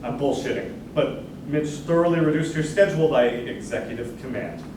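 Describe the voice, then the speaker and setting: Bumbling male manager played by a man, indecisive and awkward, staged-reading delivery; distant miking, clear background noise. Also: mid-range voice, animated, close to an everyday voice. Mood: awkward, blunt. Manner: halting, awkward, backtracking. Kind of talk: low-key staged reading of a musical. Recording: distant, echoey live room, loud noise.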